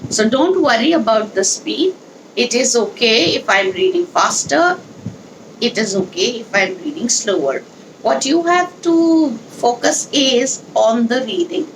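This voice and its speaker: A woman leading a children's read-along, speaking in an instructional, deliberate, explanatory way with pauses. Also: fairly high pitch, animated, a little hiss or hum, poor audio. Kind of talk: instructional talk and storybook read-aloud.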